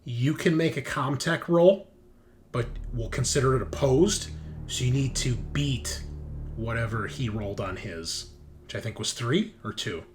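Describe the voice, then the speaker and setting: A man's voice: mid-range voice, a little slow, a little hiss or hum. A man acting as game master, switching between scene narration and casual talk about the rules.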